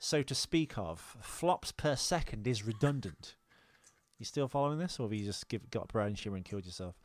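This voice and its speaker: A man's voice: fairly low pitch, lively intonation, moderate pace, dry recording. A man co-hosting a podcast, explaining trivia and bantering in a crude, teasing, casual way. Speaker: podcast co-host. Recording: music playing behind. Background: music.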